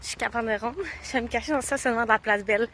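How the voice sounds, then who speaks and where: A young woman with a Quebec French accent, a little stuffy from a cold, chats excitedly to her camera as she hurries along city sidewalks. Her voice sits a little high. Faint traffic hums behind her. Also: racing. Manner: chatty, excitable, breathless when hurrying. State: slight cold, sore throat. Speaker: hide-and-seek player vlogging. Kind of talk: casual self-filmed vlog narration. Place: outdoors on busy city streets.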